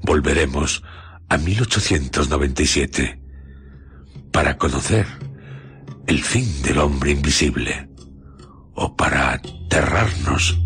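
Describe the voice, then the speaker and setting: A man's voice: very deep voice, fairly bright tone. A man with a Spain accent narrating a radio drama in a solemn, suspenseful way, in short, separated phrases. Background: music.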